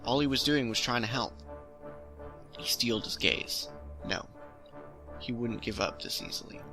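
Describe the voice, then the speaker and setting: A man's voice: mid-range voice, normal speed. A man hosting a storytelling series, reading his own science fiction story aloud in a casual, amateur way. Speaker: storytelling host. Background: music under the voice.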